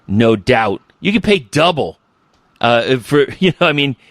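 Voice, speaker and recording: A man's voice: mid-range voice, very animated, unhurried, a bit bright, no echo. A man hosting a casual podcast interview, talking in a loose, rambling way with the odd swear word. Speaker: podcast host.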